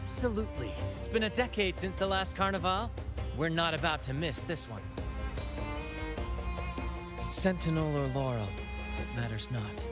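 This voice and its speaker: An actor playing a flamboyant, courteous ship captain in a video game, speaking in florid, theatrical flourishes. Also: male voice, medium pitch, close to an everyday voice. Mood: proud, warm. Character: flamboyant, courteous young male ship captain and troupe leader.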